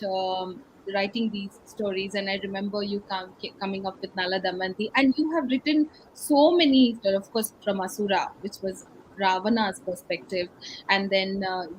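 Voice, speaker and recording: Higher-pitched Indian woman hosting, some noise. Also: rough recording. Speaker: interviewer, session host. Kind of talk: conversational hosting. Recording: noticeable background noise.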